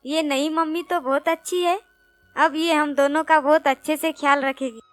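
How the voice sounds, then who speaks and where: A woman's voice: high-pitched, fairly even intonation, normal speed, fairly bright tone, no room sound, soft background music. A woman voicing an innocent little schoolgirl in a storybook tale, with plaintive, childlike questioning. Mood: happy. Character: little schoolgirl daughter, sweet, worried, innocent.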